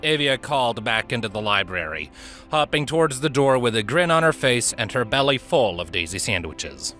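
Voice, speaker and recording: A man reading a story aloud as narrator, in an expressive scripted style. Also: mid-range voice, normal speed, soft background music. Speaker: narrator, fan fiction reader.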